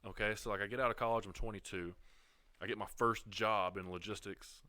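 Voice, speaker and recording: A man's voice: lowish voice, fairly even intonation, brisk, bright, forceful tone, no room sound. A man in his twenties, a podcast guest, talking candidly and reflectively in casual chat. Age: 20s. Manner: candid, self-reflective storytelling.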